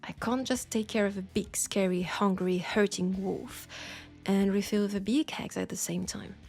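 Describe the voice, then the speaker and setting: A woman's voice: medium pitch, fairly even intonation, moderate pace, creaky, darkish tone, faint music behind, natural voice. A woman with a French accent playing a teasing, nurturing witch who tames werewolves, chatting playfully and coaxingly to the listener in an audio roleplay. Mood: chatty, reassuring. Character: teasing, nurturing female witch, self-styled werewolf tamer.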